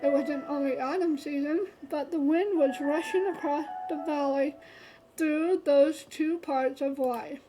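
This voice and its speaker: A woman's voice: sits high, steady tone, unhurried, over music, poor audio. A woman streamer reading a visual novel aloud, stumbling and restarting often and adding chatty asides. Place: streaming setup.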